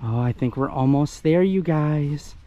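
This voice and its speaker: A man's voice: fairly high pitch, unhurried, dark tone, slight background noise. A young man filming a family walk on an outdoor trail, chatting cheerfully to the camera through an action-camera mic. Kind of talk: casual chat. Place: outdoor wooded nature trail.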